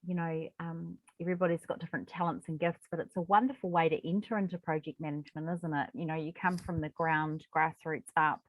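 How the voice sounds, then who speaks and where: A New woman hosts a casual interview in a fairly low voice. The sound is dry and close, and her manner is effusive and full of encouragement. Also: fairly even intonation, fairly quick, few pauses, no echo, rough recording.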